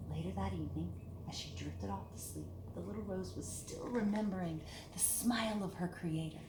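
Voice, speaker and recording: A woman's voice: fairly low pitch, moderate pace. Echoey woman reading a children's story. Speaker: storyteller reading a picture book aloud to children. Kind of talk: expressive children's story read-aloud. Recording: echoey room with clear background hiss.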